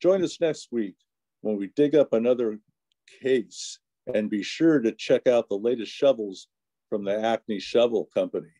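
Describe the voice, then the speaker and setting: A man's voice: medium pitch, normal speed, dark tone, lightly acted. A man playing the narrator of an old-time private eye radio serial, reading scripted narration. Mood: composed. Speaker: announcer and narrator.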